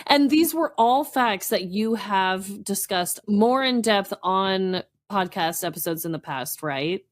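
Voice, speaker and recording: A woman's voice: mid-range voice, animated, slow. A woman co-hosting a podcast in casual, conversational chat. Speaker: podcast co-host.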